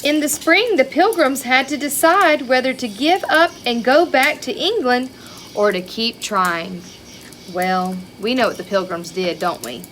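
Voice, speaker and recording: Female children's storyteller, higher-pitched and unhurried, expressive with chatty asides, some background noise. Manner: expressive, child-directed, with rhetorical questions and exclamations. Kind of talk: read-aloud picture-book storytelling with chatty asides.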